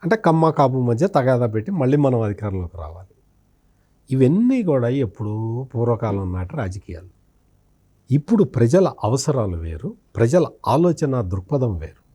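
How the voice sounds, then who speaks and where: A man's voice: medium pitch, dark tone. A man giving political analysis as a journalist, explanatory and emphatic, posing and answering his own questions and repeating phrases. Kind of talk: spoken political analysis in an interview.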